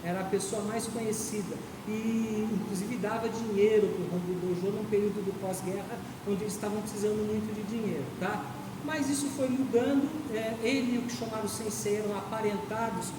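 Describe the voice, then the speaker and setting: A Brazilian woman lectures her aikido students in a casual, explanatory way. She keeps checking in with her listeners at the end of phrases. She is picked up from a distance in a roomy hall with a long reverb tail and steady background noise. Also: mid-range voice, fairly quick. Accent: Brazilian Portuguese. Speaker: aikido instructor. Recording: echoey hall from far off, noisy.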